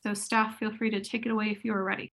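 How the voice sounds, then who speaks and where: A woman's voice: medium pitch, little pitch movement, fast talker, creaky, fairly bright tone. A woman chairing a public board meeting in a friendly, informal way over a video call.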